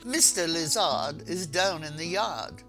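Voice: medium pitch, big pitch swings, pushed and bright, soft background music, heavily acted. A storyteller as an imperious, haughty butler. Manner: haughty, clipped, slow and dismissive. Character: imperious, condescending tall male butler.

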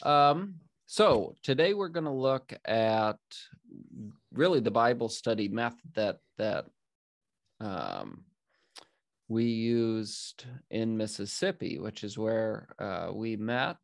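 A man's voice: lowish voice, expressive, takes it slowly, gravelly, no room sound, clean studio sound. A young man hosting a faith podcast, speaking earnestly in casual talk, often restarting sentences and using fillers. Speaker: podcast host.

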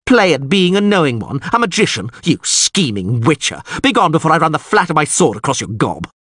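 A man's voice: medium pitch, animated, unhurried, slightly rough, dry recording, studio quality, voice pushed up. A man voicing an agitated, haughty nobleman in an audiobook, with a strained, raised voice. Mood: furious, scornful. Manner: sneering, blustering, agitated. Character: haughty, furious nobleman, frightened and pleading when bound. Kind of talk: audiobook character acting.

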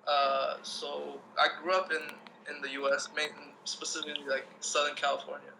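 A man's voice: a little high, little pitch movement, moderate pace, gravelly, bright, forceful tone, slight background noise, poor audio. A man in his thirties, from Lebanon and raised in the US, talking as an interview guest in a laid-back, rambling way with lots of fillers. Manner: laid-back, rambling, many fillers like "you know what I'm saying". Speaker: guest, breakdancer. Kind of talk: casual interview chat.

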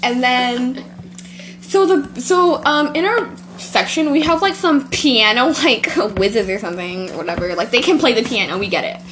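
A woman's voice: a little high, expressive, normal speed, background noise. A teenage girl hosting a homemade podcast, rambling through a school story with lots of giggling. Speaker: podcast host. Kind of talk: casual chat.

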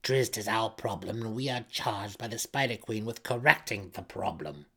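A cold, scheming matron mother with a bright, sharp edge to her voice, as a male narrator puts her on. She speaks slowly and haughtily, every word condescending. She sounds imperious and in full command. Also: on the low side, breathy edge. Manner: slow, haughty, condescending delivery. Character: cold, sly female matriarch, imperious and scheming. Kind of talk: audiobook character voice.

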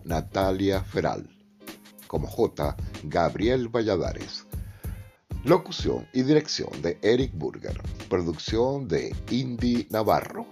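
Radio credits announcer, male: low voice, deliberate pace, expressive intonation, faint music.

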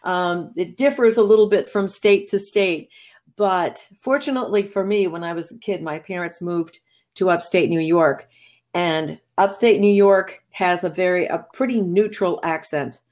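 A woman's voice: on the low side, normal speed, a little mellow. A middle-aged woman voice actor from New England, answering interview questions in an articulate, chatty way with frequent restarts. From New England, USA. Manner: articulate but chatty, many restarts and laughs. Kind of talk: relaxed conversational interview.

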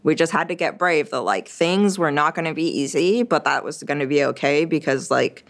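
A woman's voice: low voice, moderate pace. Chatty young woman, French first language. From Quebec. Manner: chatty, frequent fillers and restarts. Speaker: interview guest. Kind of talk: casual interview talk.

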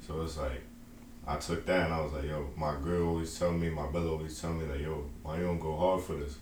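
A man's voice: a bass voice, level tone, fairly quick, a little mellow. A man talks loosely about his music, full of slang and "you feel me". His voice sounds roomy and echoey, with faint noise behind. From Long Island, New York. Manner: loose, rambling, slangy with many fillers. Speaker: indie rapper guest. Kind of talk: casual interview.

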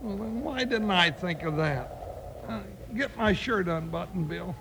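Comic sidekick, high and twangy, excitable, in a noisy vintage radio play. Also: male voice, unhurried, gravelly, exaggerated voice. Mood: grumbling. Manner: excitable, yelping. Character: bumbling comic male cowboy sidekick, jittery. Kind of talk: 1950s radio acting.

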